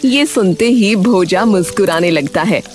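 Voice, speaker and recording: Expressive woman narrating an animated story. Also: medium pitch, unhurried, faint music behind.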